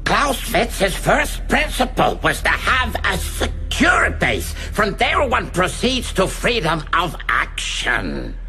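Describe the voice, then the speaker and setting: An actor playing a cold, boastful, menacing criminal mastermind in a radio drama, with theatrical, deliberate delivery. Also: male voice, a little high, bright, forceful tone, full character voice. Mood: grandiose. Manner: slow, deliberate, theatrical, grandiose.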